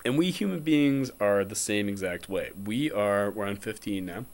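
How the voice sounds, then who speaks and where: A man in his twenties with an American accent, giving an informal philosophy lecture in a deliberate, repetitive way and checking in with his listeners. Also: on the low side, expressive. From Connecticut, United States. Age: 20s. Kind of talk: informal explanatory lecture over slides.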